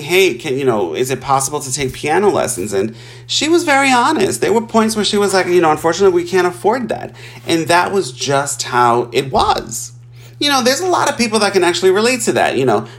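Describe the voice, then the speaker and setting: A man in his forties from Puerto Rico, telling his life story in a casual, chatty way and laughing often. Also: on the high side. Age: 40s. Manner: animated and chatty, laughs often, addresses listeners as y'all.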